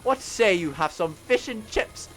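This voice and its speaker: A man's voice: sits high, lively intonation, measured pace, fairly bright tone, faint music behind, voice pushed up. A man putting on a hammy posh Englishman. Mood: mock posh. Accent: mock upper-class English. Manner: hammy mocking British put-on, drawn out. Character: exaggerated posh old-timey Englishman.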